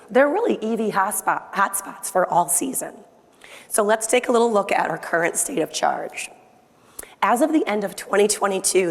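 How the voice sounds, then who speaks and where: A woman speaking on a conference panel as a state environmental official, friendly and conversational, her voice a little hoarse from losing it. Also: lowish voice. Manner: friendly, conversational presenter with rhetorical questions. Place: conference hall. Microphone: handheld microphone. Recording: long hall reverb.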